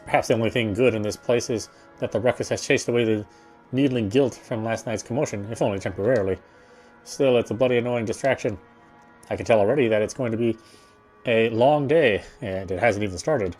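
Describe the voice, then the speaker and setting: A man reading first-person story narration aloud in a theatrical, fussy gentleman's manner. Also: lowish voice, a little fast, soft background music. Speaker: game player reading aloud.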